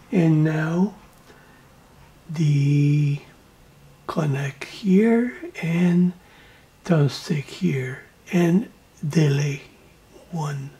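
A man narrating a hands-on electronics tutorial in halting, clipped short phrases, with a breathy voice that trails off into whispers. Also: on the high side, mellow, slight background noise. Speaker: electronics project presenter.

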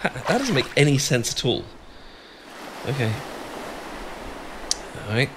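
A man's voice: mid-range voice, sing-song, measured pace, noisy background. A man with a British accent acting an awkward, easily flustered, bashful friend in a romantic ASMR audio roleplay, stammering and rambling as he goes. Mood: mock-offended.

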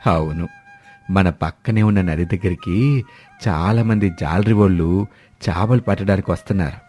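A man voicing a hungry, mild old village husband in an animated moral story. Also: low-pitched, normal speed, faint music behind, natural voice. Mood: coaxing, eager. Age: older.

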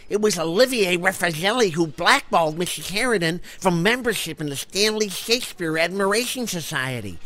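A man's voice: high-pitched, bright, forceful tone. An actor playing a chatty, effusive male informant who loves the theatre, in a comedy audio drama. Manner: effusive, gossipy.

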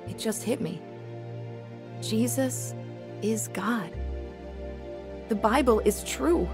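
An actress playing an earnest young woman teacher who narrates her own faith story in a radio drama. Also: mid-range voice. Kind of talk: dramatized first-person testimony narration. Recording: new-age music behind the voice.

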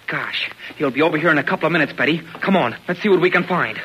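An actor playing an eager, excitable high-school boy with an American accent in a 1940s radio serial, earnest and full of gee-whiz exclamations. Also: quick pace, husky. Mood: urgent. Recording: noisy old radio broadcast recording.

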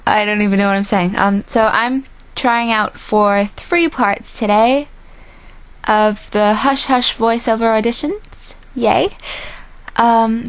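A woman's voice: medium pitch, no room sound. A young woman introducing her voice audition in an informal, self-deprecating way. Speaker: amateur voice actor auditioning.

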